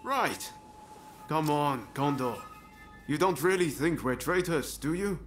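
A man's voice: a little high, expressive, takes it slowly, faint music behind. A man acting a coaxing, earnest adult samurai comrade in a video game cutscene. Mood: troubled, earnest.

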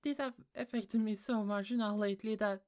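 A woman playing a hurt, fed-up office coworker in an ASMR roleplay, halting and pleading, with pauses and sighs. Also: medium pitch, steady tone, darkish tone, pitched above the performer's own voice, natural voice. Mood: upset, pleading. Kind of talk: ASMR roleplay, slow intimate monologue.

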